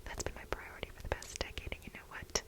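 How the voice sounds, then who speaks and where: A woman's voice: a bit bright, lightly acted. Woman acting a whispering, aggrieved, clumsy assistant. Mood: indignant, demanding. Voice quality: breathy whisper. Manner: halting, dramatic, repeating herself for emphasis. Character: flustered clumsy female assistant, aggrieved and demanding. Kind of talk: soft-spoken ASMR role play.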